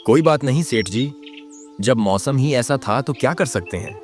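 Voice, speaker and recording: A man's voice: medium pitch, expressive, normal speed, a little mellow, faint music behind, natural voice. A man voicing an earnest, humble young samosa seller in a plaintive manner for a children's cartoon. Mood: respectful. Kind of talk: Hindi children's moral-story cartoon dub.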